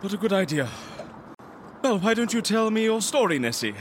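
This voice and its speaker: A man playing a fussy, polite, easily flustered gentleman in an audio comedy. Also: high voice, big pitch swings, brisk, slight background noise. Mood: businesslike, strained. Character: fussy, polite, nervous gentleman leading a boat trip. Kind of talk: audio fiction comedy acting. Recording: water and boat ambience behind.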